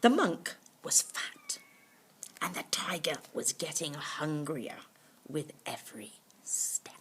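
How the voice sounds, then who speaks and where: A woman reading a bedtime story to children, pausing to explain things simply in little asides. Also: low voice, wildly swooping pitch, a bit bright, in a whisper. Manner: explains words simply to young listeners.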